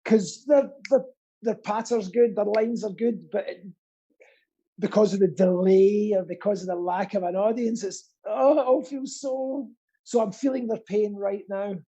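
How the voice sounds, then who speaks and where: Man, very dark timbre, husky, casual chat, earnest, self-deprecating, rambling. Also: high-pitched, unhurried, muffled recording. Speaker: guest, pantomime actor and theatre stagehand.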